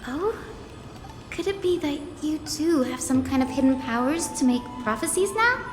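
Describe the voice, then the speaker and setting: An actress playing a gentle, earnest young woman descended from sky people, polite and formal, in a fantasy video game. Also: sits high, animated, moderate pace. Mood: friendly, playful. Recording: echoey hall reverb, music underneath.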